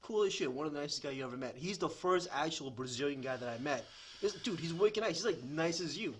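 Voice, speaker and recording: A man's voice: fairly high pitch, lively intonation, fast talker, slight background noise. A man from Thailand chatting casually on a podcast, telling anecdotes and bantering in informal, slangy language with plenty of swearing. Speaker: podcast co-host.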